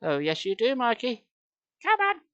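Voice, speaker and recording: Man putting on a high, cooing falsetto mum. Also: wildly swooping pitch, normal speed, fairly bright tone, clean tone, dry recording, muffled recording, exaggerated voice. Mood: firm, coaxing. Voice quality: falsetto, sing-song. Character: cooing young mother fussing over her baby, patient then sharp.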